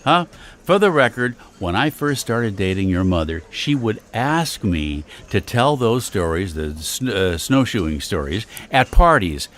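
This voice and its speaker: A man's voice: on the low side, very animated, measured pace, fairly bright tone. An actor playing a peevish, stubborn older husband and father in an audio comedy, indignant and emphatic. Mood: indignant, wounded. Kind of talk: naturalistic audio comedy acting.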